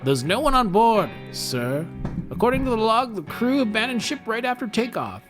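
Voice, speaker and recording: A reader playing a brisk, dutiful military officer in an amateur table read of a space film. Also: medium pitch, sing-song, over music, lightly acted.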